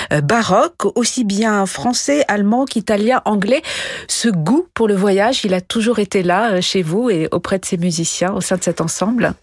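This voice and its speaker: Measured woman radio host, husky voice. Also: medium pitch, no echo, studio quality. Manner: measured, articulate. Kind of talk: radio presenting and interviewing. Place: radio studio.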